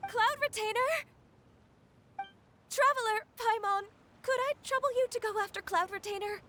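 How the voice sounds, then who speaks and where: A polite, earnest young woman in a fantasy video game. Her voice is extremely high and full of care. There is faint noise and clicking behind it. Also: moderate pace, sharp tone. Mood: concerned. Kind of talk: video game cutscene acting.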